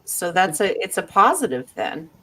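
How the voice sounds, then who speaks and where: Low-voiced woman co-host, explanatory. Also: big pitch swings, normal speed, bright, forceful tone. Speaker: roundtable co-host. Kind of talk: casual spiritual discussion.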